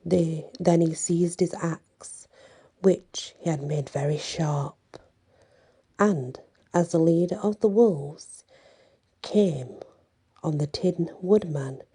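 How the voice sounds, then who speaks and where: Lady reading a bedtime story asmr style, low pitched and really slow, kinda halting. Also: warm tone, slightly rough.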